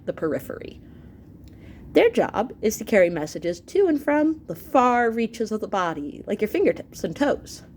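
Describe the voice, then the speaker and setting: A woman reads an illustrated science book aloud like a teacher to students. Her mid-pitched voice moves up and down in a lively way, and she explains terms as she goes. There is a little background noise. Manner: explanatory, expressive, pausing to clarify terms.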